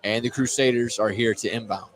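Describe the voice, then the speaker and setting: A man's voice: on the low side, steady tone, a little fast, a crowd in the background. A man calling basketball play-by-play live, with a playful manner and frequent self-corrections. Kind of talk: live sports commentary. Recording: loud gym noise behind commentary. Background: gym noise, bouncing basketball.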